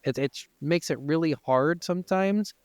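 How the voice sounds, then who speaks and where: A man's voice: a little high, moderate pace, darkish tone, smooth, no room sound, clean studio sound. A man co-hosting a podcast, talking casually and profanely about video games. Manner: informal, freely profane. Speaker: podcast co-host. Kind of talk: casual video game discussion.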